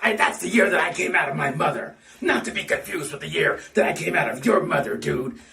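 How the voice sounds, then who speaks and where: A man delivers a mock wrestling promo full of swagger, his voice raised, gravelly and sharp. He sits back from the mic in an echoey room. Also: a little high, expressive, fairly quick, recorded from a distance, slight background noise. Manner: blustering wrestler bravado, tacks on "brother" and "dude". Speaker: fan wrestling promo performer. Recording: roomy echo, off-mic.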